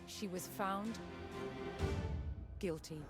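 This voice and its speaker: Woman narrating history over prominent music. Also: mid-range voice. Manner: measured, solemn storytelling. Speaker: documentary narrator. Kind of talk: scripted historical documentary narration.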